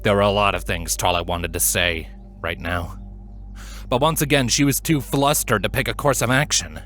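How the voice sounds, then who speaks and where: A man narrating a scripted story in an expressive, dramatic manner. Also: lowish voice, moderate pace, faint music behind. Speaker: fan fiction reader, narrator.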